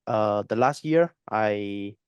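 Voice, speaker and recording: Young male guest, clear resonant tone, dry close sound, halting delivery with fillers. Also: lowish voice, darkish tone, dry recording, dull audio. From Spain. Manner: hesitant, repeats words, many fillers. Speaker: guest trader. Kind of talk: interview conversation.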